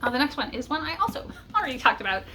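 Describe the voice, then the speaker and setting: A woman's voice: sits high, sing-song, racing, pushed and bright. A woman chatting casually and enthusiastically to camera as a book vlogger, with small giggles breaking into her talk. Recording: noticeable background noise.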